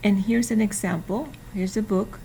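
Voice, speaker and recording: A woman's voice: mid-range voice, steady tone, mellow, a little hiss or hum, poor audio. A woman, carefully reading a prepared class presentation. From Mexico. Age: 50s. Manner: careful, deliberate reading with some restarts. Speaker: student.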